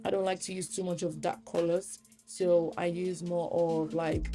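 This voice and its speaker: A woman makeup artist talking through a tutorial in a relaxed, chatty voice-over, hoarse from a cold. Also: lowish voice.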